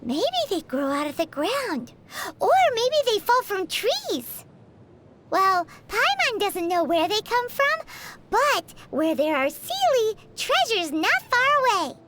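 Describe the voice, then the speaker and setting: A woman voicing a tiny, childlike, naive fairy companion in a video game, with a squeaky, cutesy, exclaiming delivery. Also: sing-song, slow, fairly bright tone, a little hiss or hum, full character voice. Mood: curious. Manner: refers to self in third person, exclaiming, cutesy. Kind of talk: video game character voice acting.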